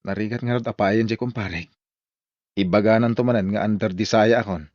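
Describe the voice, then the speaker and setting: A man's voice: fairly low pitch, steady tone, fairly quick, darkish tone, no echo, lo-fi sound, lightly acted. A man with an Ilocano accent playing a hard-drinking husband who turns belligerent or sheepish in a radio-style drama. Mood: sheepish, apologetic.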